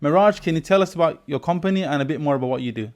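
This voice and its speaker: A man's voice: fairly high pitch, very fast, darkish tone. A man hosting a podcast, reading a sponsor message and then talking through his thoughts in conversation. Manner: reflective, thoughtful conversational delivery. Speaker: podcast host and musician. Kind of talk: podcast interview and sponsor read.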